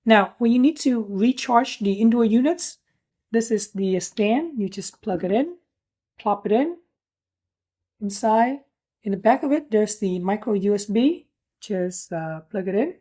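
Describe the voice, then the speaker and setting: A woman's voice: mid-range voice, lively intonation, a little slow, warm tone. A woman giving a casual hands-on product review, explaining things in an informal, plain-spoken way.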